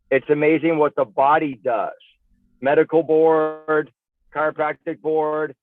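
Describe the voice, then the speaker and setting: A man's voice: fairly high pitch, no room sound. A man speaking as an interview guest, persuasive and emphatic, firing off punchy rhetorical questions over tinny, phone-like sound. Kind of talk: persuasive pitch in casual interview.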